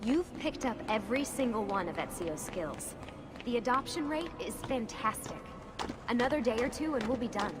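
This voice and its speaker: A woman's voice: on the high side, lively intonation, moderate pace, over music, close to an everyday voice. A woman playing a serious, determined young team leader in a video game, matter-of-fact and guarded. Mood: brisk, instructing. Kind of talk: video game cutscene dialogue. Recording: clear background ambience noise.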